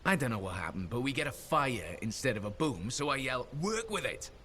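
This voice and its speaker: A chatty, upbeat mercenary buddy. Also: male voice, medium pitch, sing-song, a little fast, sharp tone, slight background noise. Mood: friendly. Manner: upbeat, storytelling.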